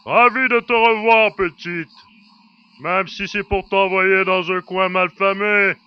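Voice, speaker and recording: Guy acting big jolly space giant, slow booming talk, high pitched, kinda muffled audio. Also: fairly even intonation, clear, resonant tone. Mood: hearty. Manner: booming, hearty, slow delivery. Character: jovial giant male space colossus, booming and teasing.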